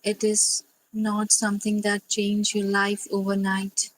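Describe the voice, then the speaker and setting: A woman leading a guided sleep meditation, speaking gently and soothingly in short phrases with long pauses. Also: medium pitch, completely flat delivery, slight background noise, low-quality recording.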